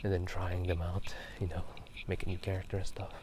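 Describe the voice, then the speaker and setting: A man whispering a casual, rambling ASMR chat in a halting, unhurried way while out walking through a town at night. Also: sits low, level tone, noisy background. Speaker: ASMR video host.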